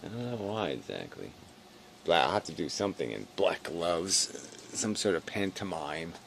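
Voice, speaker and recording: A man rambling casually in a weary, low-energy, self-deprecating way, halting and swearing as he talks to camera. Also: big pitch swings, creaky, fairly bright tone, slight background noise. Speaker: video blogger.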